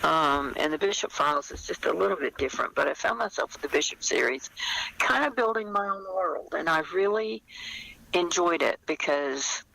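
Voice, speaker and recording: An older woman talking conversationally as an interview guest, explaining her work as a writer in a thoughtful, halting manner. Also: deep voice, lively intonation, creaky, pushed and bright, rough recording.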